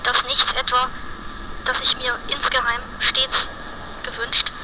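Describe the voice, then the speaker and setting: A performer voicing a weary, alienated, sexually indefinite inner voice, feeble and halting, in an experimental radio monologue. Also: high-pitched, flat delivery, heavy background noise. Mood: drained, gloomy. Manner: slow, halting, deliberate monologue. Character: weary, alienated, androgynous inner voice, gloomy and listless.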